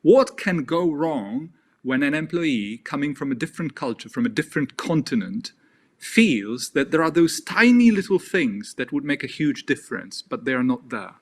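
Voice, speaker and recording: A man's voice: fairly high pitch, sing-song, normal speed. A man moderating a panel discussion, asking polite, probing follow-up questions.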